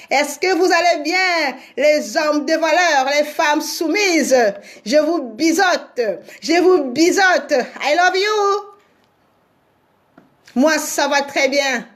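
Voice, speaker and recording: A woman marriage counselor on a live stream, talking with a sore throat and a stuffy cold, slow and emphatic, often repeating words. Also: high-pitched. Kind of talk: live-streamed advice talk.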